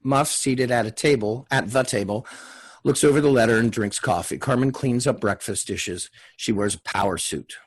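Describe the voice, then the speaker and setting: A man with a husky voice reading out screenplay stage directions as scripted narration. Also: mid-range voice, normal speed.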